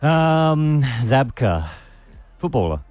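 A man's voice: mid-range voice, sing-song, slow, darkish tone, dry recording, soft background music. An Australian man co-hosting a morning radio show, laid-back and playful, poking fun at himself. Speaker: radio co-host. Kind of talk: breakfast radio banter.

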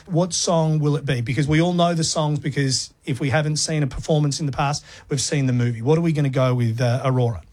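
An Australian man co-hosting breakfast radio in a studio. He talks with bright enthusiasm and a slightly raised pitch. His chat is lively and effusive. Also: fast, few pauses. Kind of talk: upbeat breakfast radio banter. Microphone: studio microphone.

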